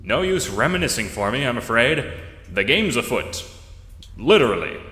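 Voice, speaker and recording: Brash, lively old adventurer. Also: male voice, medium pitch, normal speed, echoey room, big-room sound, slight background noise, pitched above the performer's own voice. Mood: impatient. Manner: blunt, old-fashioned exclamatory slang, condescending. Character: brash, pompous old adventurer man with a rifle. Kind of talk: audio drama acting.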